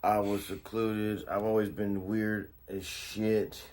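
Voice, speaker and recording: A man's voice: lowish voice, level tone, slow pace, darkish tone. A man in his thirties mumbling through barely parted teeth in a casual self-made video. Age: 30s. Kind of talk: casual video selfie monologue.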